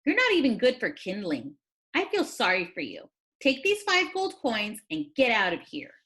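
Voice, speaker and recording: A woman voicing a gruff theater master in a storybook, blunt and dismissive but pitying. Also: a little high, wildly swooping pitch, normal speed, a bit bright. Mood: grudging pity. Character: gruff male theater master softened by pity. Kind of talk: storybook character reading.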